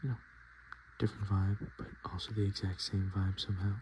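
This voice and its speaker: A man speaking softly and in whispers, chatting casually as an ASMR host with an unhurried, self-deprecating manner. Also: deep voice, flat delivery, background noise. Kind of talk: soft-spoken and whispered ASMR, casual chat.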